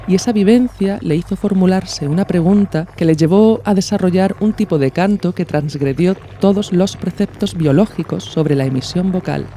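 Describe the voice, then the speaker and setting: A male podcast host narrates from a script in an explanatory way. His voice sits a little high for a man, with a mellow, relaxed tone. The phrasing is measured and educational. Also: slight background noise.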